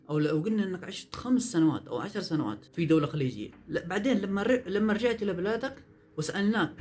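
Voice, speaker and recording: A young man, giving a lively, emphatic vlog monologue full of rhetorical questions. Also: high-pitched. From Yemen. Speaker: vlogger. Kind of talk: fast opinion monologue. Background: faint background music.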